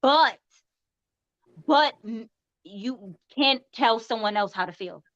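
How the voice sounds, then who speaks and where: A woman's voice: on the high side, lively intonation, slow, a bit bright, no room sound. Firm, chatty woman podcast co-host. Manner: firm, advice-giving, chatty. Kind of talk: casual podcast chat. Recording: slightly dull, dry audio.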